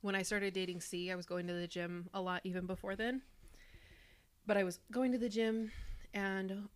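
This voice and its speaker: A young woman with a naturally deep voice, co-hosting a podcast and candidly sharing personal stories in casual chat. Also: fairly even intonation, normal speed, smooth. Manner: candid, reflective storytelling. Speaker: podcast co-host.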